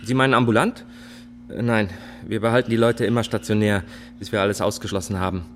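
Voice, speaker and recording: An actor playing a cautious hospital doctor in a radio drama, measured and hesitant, hemming as he explains. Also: on the low side, fairly even intonation, background noise, lightly acted. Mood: cautious, explaining. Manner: hesitant, measured, with many hems. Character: cautious male hospital doctor, procedural and evasive. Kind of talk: German radio drama acting.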